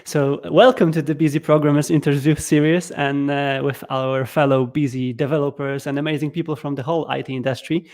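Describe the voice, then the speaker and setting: Upbeat host man, fairly high voice. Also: little pitch movement, a little fast, few pauses, a little mellow. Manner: upbeat, slightly stumbling with repeated words. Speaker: show host. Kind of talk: casual interview hosting with a scripted intro.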